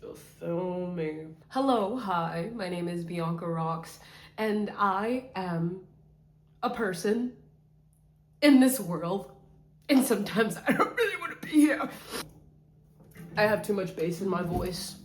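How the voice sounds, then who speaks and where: A woman's voice: fairly low pitch, expressive, takes it slowly, pauses often. A woman vlogging from her bedroom on the camera mic, playful and self-mocking, rambling and slipping into sing-song bits. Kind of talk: rambling casual vlog. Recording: echoey room sound.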